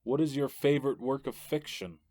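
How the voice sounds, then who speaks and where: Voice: medium pitch, brisk, warm tone, no room sound. A joking castmate in a casual group chat, reading out fan questions.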